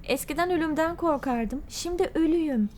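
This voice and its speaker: A woman voicing a laid-back skeleton customer in an animated comedy, talking casually in slang. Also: high voice, moderate pace, a little hiss or hum, natural voice. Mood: serene, pleased. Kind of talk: animated comedy dub acting.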